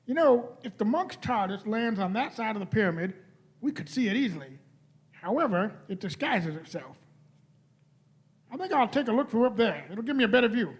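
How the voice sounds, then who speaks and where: Man playing a cheeky high-voiced young companion. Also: big pitch swings, normal speed. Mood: relaxed, observant. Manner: colloquial, questioning. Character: cheeky, impatient young male space-travel companion. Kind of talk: live staged radio play.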